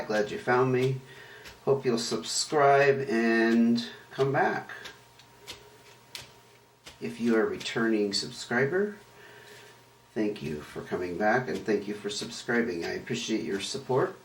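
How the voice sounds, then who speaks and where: A man hosting a tarot reading in a rambling, chatty way, his voice rough and hoarse as he recovers from illness. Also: medium pitch. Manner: chatty, rambling, self-correcting. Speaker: tarot reader and channel host. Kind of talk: casual tarot card reading to camera. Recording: roomy echo, distant mic, faint noise.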